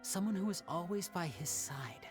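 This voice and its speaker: A woman voicing a calm, clever young magician detective in a game, laying out a deduction. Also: on the low side, music in the background, natural voice. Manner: measured, deducing. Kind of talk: game voice acting.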